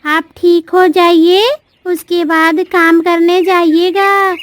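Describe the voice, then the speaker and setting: An anxious, caring crow wife from a children's cartoon, voiced by a woman. Her voice is extremely high and girlish. She speaks slowly, with an earnest, pleading manner. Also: flat delivery, no room sound. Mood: concerned, insistent. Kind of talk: Hindi children's cartoon story dialogue.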